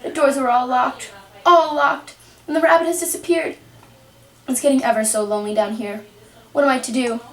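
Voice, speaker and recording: A woman's voice: high voice, steady tone, moderate pace, pauses often, voice pushed up. A woman voicing a worried, polite young girl who frets and wonders aloud in a puppet show. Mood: fretful. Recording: roomy, distant home microphone.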